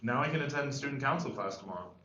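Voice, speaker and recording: Earnest, stressed male high school senior, read by a man, hesitant delivery; roomy, muffled table-read sound. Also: animated, fast, pushed and bright. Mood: candid, hesitant. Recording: echoey, dull, faintly noisy room recording.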